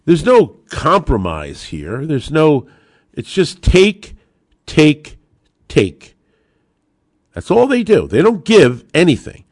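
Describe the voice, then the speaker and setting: A man's voice: a little high, wildly swooping pitch, slow pace, pauses often, a little mellow, no echo, clean studio sound. A man hosting a podcast of opinionated political commentary, speaking in an emphatic, sarcastic way and repeating words for emphasis. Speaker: podcast host.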